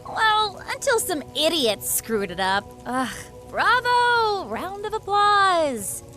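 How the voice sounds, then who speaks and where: A sardonic rebel woman leader from a video game, with a very high voice that swings up and down. She teases breezily and theatrically, taking her time over the words, with faint music behind her. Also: big pitch swings, slow pace, bright, forceful tone, full character voice. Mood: sarcastic, theatrical. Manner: sarcastic, breezy, teasing.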